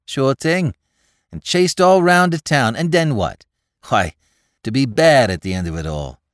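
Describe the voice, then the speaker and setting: A man voicing a cheeky, excitable young Bowery crook with a New York accent, talking in rapid slang. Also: mid-range voice, no room sound, clean studio sound, voice pushed up, lightly acted. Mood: excited.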